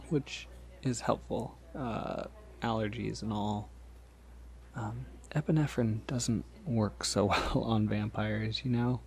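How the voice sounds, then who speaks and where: A man playing a polite, considerate vampire in a soft-spoken ASMR roleplay, hesitant, gentle and reassuring in the way he talks. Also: medium pitch, creaky. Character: polite, considerate, decades-old male vampire posing as college student.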